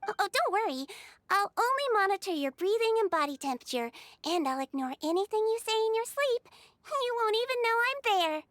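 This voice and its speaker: A woman voicing a small, sweet young female melusine nurse in a video game, with a childlike voice and a gentle, earnest, reassuring manner. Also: very high-pitched, sing-song, brisk, dry recording, heavily acted. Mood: caring. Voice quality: childlike, girlish. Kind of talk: video game character voice lines. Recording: studio voice over game music.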